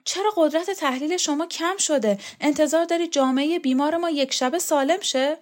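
A woman voicing an earnest, anxious young male student who pleads quickly. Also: high-pitched, pitched above the performer's own voice, lightly acted.

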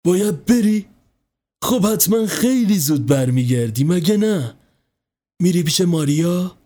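A worried middle-aged mother, as a male narrator puts her on, her voice lifting high and pleading as she begs her son to stay. She sounds tearful and anxious, reaching up in pitch well above the narrator's own voice. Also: expressive, dark tone.

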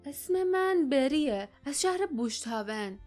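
A woman's voice: a little high, expressive, faint music behind, lightly acted. A woman voicing a grown male warrior in an audiobook, speaking in a grumbling, wary way. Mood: nervous.

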